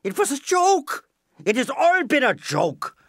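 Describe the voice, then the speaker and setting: An eccentric older professor in an audio comedy, exasperated yet apologetic. His delivery is theatrical and slow, leaping dramatically up and down in pitch, recorded very dry. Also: wildly swooping pitch, fairly bright tone, no room sound, full character voice. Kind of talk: audio play acting.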